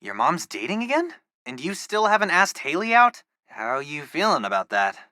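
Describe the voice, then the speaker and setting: A geeky teenage boy, the loyal sidekick of a video game hero. He chats casually with wry humor and a lively, swinging intonation. His voice is bright and mid-pitched, recorded close and dry. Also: moderate pace, no echo, full character voice. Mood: teasing. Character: geeky, upbeat teenage boy, loyal wisecracking best friend. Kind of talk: video game companion dialogue.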